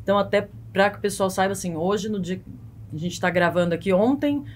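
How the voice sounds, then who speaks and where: A woman's voice: medium pitch, brisk, a little mellow, slight background noise. A woman in her thirties with a Brazilian accent explains things conversationally as an expert podcast guest, often checking in with short tag questions. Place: small foam-padded podcast studio. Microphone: close studio mic on boom arm.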